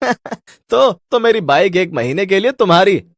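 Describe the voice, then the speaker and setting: A man's voice: high voice, expressive, measured pace, no room sound, studio quality, voice pushed up. A man voicing a superstitious, nervous fellow who protests with agitation in a Hindi cartoon story. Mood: defiant, nervous.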